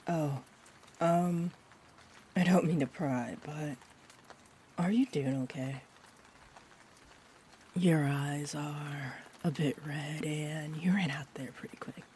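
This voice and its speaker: Over a faint bed of rain, a woman plays a friendly vampire bartender with a low-pitched voice. She is gentle and a little hesitant, drifting into whimsical tangents. It is an intimate comfort roleplay, soft and sweet in manner. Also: a little hiss or hum. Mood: gentle concern. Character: sweet rambling friendly female vampire bartender, looks twenty-four. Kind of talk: roleplay audio, soft intimate rambling monologue. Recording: steady rain ambience behind the voice.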